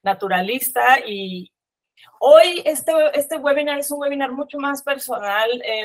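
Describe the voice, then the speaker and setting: A woman in her forties from Mexico City with a Mexican accent, giving a webinar talk on a video call, conversational with many fillers and restarts. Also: a little high. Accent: Mexican Spanish. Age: 40s. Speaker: landscape architect giving a webinar. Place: room with bookshelves. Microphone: video call webcam.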